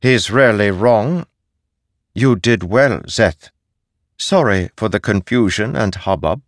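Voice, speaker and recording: Male narrator as young female fantasy warrior: assured, direct, pitch lifted above his deep narration. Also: lively intonation, takes it slowly, dry recording, clean studio sound. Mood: brisk, assured. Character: brisk, authoritative young woman warrior.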